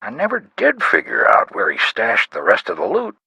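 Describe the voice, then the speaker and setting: An actor playing a reflective veteran of old criminal cases in a video game, with a gravelly, husky voice. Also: male voice, on the low side, huge pitch swings, normal speed, sharp tone, no room sound, heavily acted, poor audio. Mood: reflective, unresolved. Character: male veteran of an old robbery case, reflective. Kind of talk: scripted video game dialogue.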